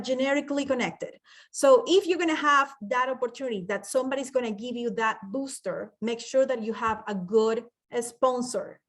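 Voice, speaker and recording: Chatty woman presenter. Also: a little high. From Ecuador. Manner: chatty, laughs while telling stories. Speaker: bank presenter. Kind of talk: informal webinar presentation.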